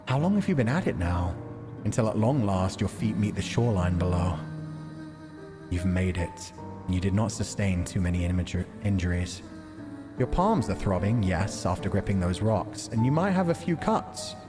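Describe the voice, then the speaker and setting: A man hosting a game stream, reading visual novel narration aloud and chatting casually about it. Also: low-pitched. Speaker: livestream host playing a visual novel.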